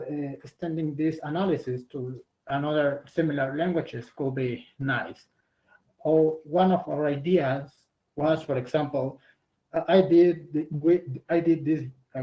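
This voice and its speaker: A man with a Mexican Spanish accent speaks English on a video call, pausing often and searching for his words. His voice sits a little high for a man. The audio is dull and lo-fi. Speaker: student presenter. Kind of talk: answering a question on a video call.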